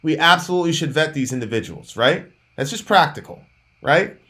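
Bright-voiced man from Jamaica, thirties, animated debater on webcam call, somewhat high voice. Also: brisk. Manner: animated, emphatic, gesturing. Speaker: co-host. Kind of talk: heated political debate. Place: dark room at home.

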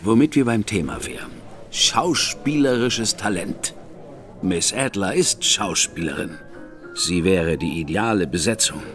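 A composed, shrewd older brother in an audio drama. His voice sits on the low side yet moves animatedly, and he speaks in a measured, wry way. Faint music plays underneath. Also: expressive, fairly bright tone. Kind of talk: German audio drama acting. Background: faint background score.

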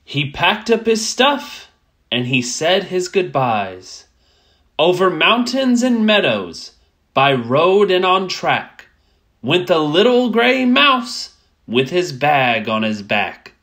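A woman reading a rhyming children's picture book aloud as a storyteller, with expressive, measured phrasing. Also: fairly low pitch.

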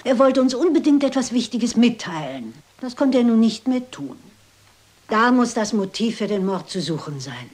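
A woman playing a shrewd, resolute elderly lady detective in a German crime radio play, brisk and self-assured. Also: fairly high pitch, very animated, darkish tone. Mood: assured, intent. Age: older. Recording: hissy old radio-play recording.

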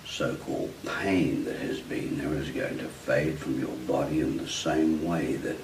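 Very deep-voiced man in echoey room. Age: middle-aged. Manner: directive, short deliberate phrases. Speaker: hypnotist. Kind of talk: hypnotic suggestion and check-in questions. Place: meeting room with glass wall, indoors. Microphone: distant camera mic. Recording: echoey room, distant mic, clear background noise.

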